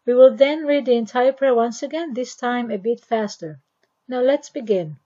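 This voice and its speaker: A woman from Israel with an Israeli accent and native Hebrew gives scripted language instruction, enunciating carefully and deliberately. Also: fairly high pitch, warm tone, dull audio.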